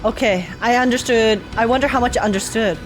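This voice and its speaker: A young woman streaming a game, reacting casually and excitedly with giggly, slangy enthusiasm. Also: fairly high pitch, brisk, music in the background, rough recording.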